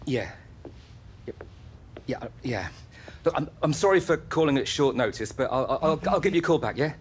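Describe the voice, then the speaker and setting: An actor playing a middle-aged football agent who talks in a pleading, apologetic way. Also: male voice, medium pitch, lively intonation, moderate pace, a little hiss or hum, lightly acted. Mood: flustered. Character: aging male football agent, desperate and guilty.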